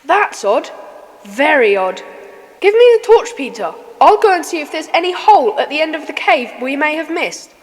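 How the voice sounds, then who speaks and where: A woman's voice: sits high, very animated, normal speed, long reverb tail. A woman playing an earnest, puzzled schoolboy in a children's adventure audio drama. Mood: puzzled, insistent.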